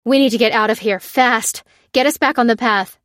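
A woman voicing a bold woman in an audiobook, giving orders with urgent, forceful defiance. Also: a little high, a little slow, no room sound, studio quality. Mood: urgent, commanding.

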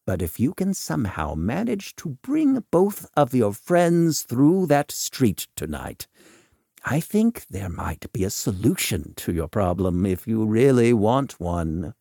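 Guy doing an eccentric mocking doctor for a storybook, very swoopy pitch, formal and theatrical. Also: very animated, slow, creaky, dark tone, no room sound, studio quality, full character voice. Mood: wry, cautioning. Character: eccentric, coolly mocking male doctor lurking in shadows. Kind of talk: audiobook character dialogue.

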